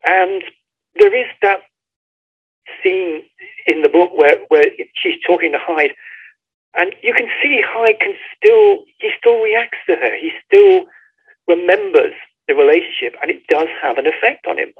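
A man's voice: fairly high pitch, breathy edge. A man speaking as an author being interviewed, talking in an articulate, storytelling way over a dull, lo-fi line. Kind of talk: conversational book interview.

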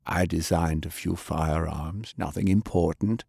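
A man voicing a gentle, courteous older gentleman. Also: low-pitched, fairly even intonation, creaky, slightly rough, no room sound, clean studio sound, heavily acted. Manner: gentle, measured. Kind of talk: audiobook character voice.

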